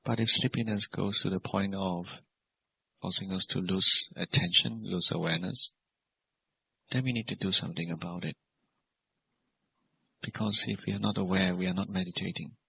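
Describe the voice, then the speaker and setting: A man teaching guided meditation as a Buddhist monk, speaking in measured, simple phrases and gentle questions. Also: low-pitched, steady tone, gravelly, a little hiss or hum. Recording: dull, lo-fi recording.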